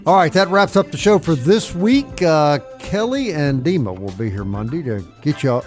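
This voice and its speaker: A man's voice: on the high side, expressive, moderate pace. Man co-hosting casual sports radio, faint music.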